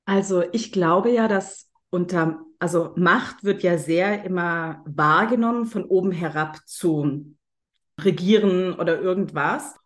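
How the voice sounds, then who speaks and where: Relaxed, reflective woman with a lowish voice. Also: a little slow. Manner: relaxed and reflective, quoting her own thoughts. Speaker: participant. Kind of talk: casual group sharing.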